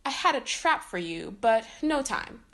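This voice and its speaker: A woman voicing an excitable male evil scientist who boasts of his schemes in a children's cartoon storybook, theatrical and explanatory. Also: on the high side, measured pace, full character voice. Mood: boastful.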